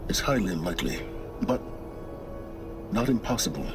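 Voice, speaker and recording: An actor playing a cold, secretive middle-aged male scholar of ancient ruins, measured and condescending. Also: sits low, very animated, over music. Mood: explaining. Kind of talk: video game cutscene acting.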